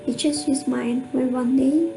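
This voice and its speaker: A woman narrating an amateur radio drama in a measured, scripted reading manner. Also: high voice, steady tone, vocal fry, noisy background. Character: storytelling narrator of a family mystery. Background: music under the voice.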